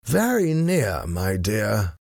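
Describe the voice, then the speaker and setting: A man voicing a calm, bored-sounding gentleman hero in an audiobook, with a drawling, courteous, nonchalant manner. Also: mid-range voice, slow pace, gravelly, no room sound, studio quality, voice pushed up, full character voice. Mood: languid, unruffled. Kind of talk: acted audiobook dialogue.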